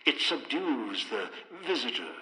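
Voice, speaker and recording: A man's voice: mid-range voice, big pitch swings, a little slow, pushed and bright, reverberant, in a large hall, exaggerated voice, low-quality recording. An older man acting a bitter, commanding old schemer in a video game, giving terse, ominous orders over a radio speaker. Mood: calculating, terse.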